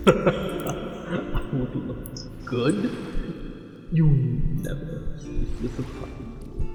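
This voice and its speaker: A man voicing a tender, possessive merman for an ASMR romance roleplay, speaking formally and haltingly with a breathy delivery. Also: medium pitch, expressive, darkish tone. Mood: amused, intrigued. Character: lovesick possessive angler merman, courtly and tender. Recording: very echoey, long reverb, music behind.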